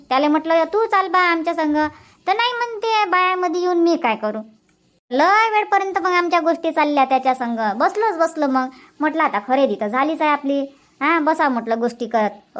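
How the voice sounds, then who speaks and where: A woman's voice: sits high, lightly acted. A woman voicing chatty, sociable village women in a cartoon comedy, speaking colloquial Vidarbha Marathi with repeated phrases. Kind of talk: cartoon comedy dubbing in rural dialect.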